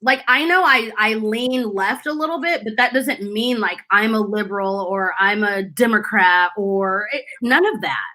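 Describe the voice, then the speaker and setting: A woman's voice: mid-range voice, moderate pace, hardly any pauses, pushed and bright. A woman co-hosting a casual live hangout, informal and agreeable, reading out viewer comments as she chats.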